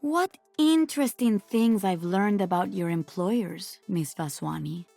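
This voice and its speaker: A woman's voice: mid-range voice, unhurried, creaky, a little mellow, no room sound, soft background music. A woman playing a sly, mocking hacker with menace in a video game. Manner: mocking, sardonic.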